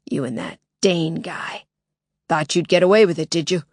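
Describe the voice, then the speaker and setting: A menacing masked male intruder, put on by a woman. His voice is thick and harsh like a heavy smoker's, often sinking to a low threatening whisper. It sounds dry and close. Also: normal speed, no echo.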